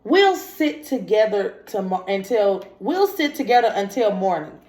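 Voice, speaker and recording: Slow, kindly storybook mother duck read by a woman. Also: medium pitch, very animated. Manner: gentle, coaxing. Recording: echoey room.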